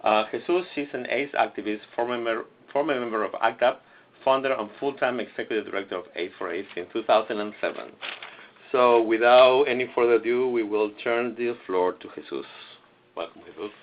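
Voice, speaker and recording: A man's voice: medium pitch, fairly quick, a little hiss or hum. A man hosting and introducing a webinar, stumbling a little with fillers. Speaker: webinar host. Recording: lo-fi webinar audio.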